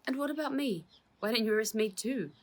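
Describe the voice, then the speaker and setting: A woman plays a devoted, anguished uncle in a children's storybook. He speaks with feeling and insists on what he believes, in a husky voice raised a little above a narrator's. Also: on the high side, lively intonation, brisk, pitched above the performer's own voice. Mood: disbelieving. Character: loving, anguished, protective uncle.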